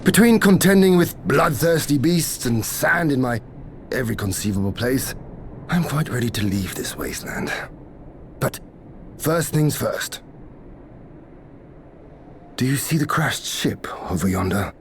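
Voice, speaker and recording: A man playing a composed, dryly wry adventurer in a video game, speaking in measured, formal, old-fashioned phrasing. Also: mid-range voice, sing-song, a little hiss or hum. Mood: wry complaint. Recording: game dialogue under clear background music. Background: game music.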